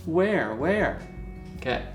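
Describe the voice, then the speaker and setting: A man teaching a beginner Mandarin lesson at a whiteboard, upbeat and encouraging, often checking in with "okay?" and slowly repeating words for learners. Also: on the high side, big pitch swings, soft background music.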